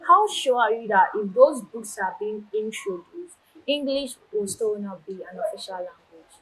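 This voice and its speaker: A woman's voice: mid-range voice, animated, moderate pace, a bit bright, low-quality recording. A woman hosting a TV show, questioning students and pressing them with follow-up questions. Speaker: host.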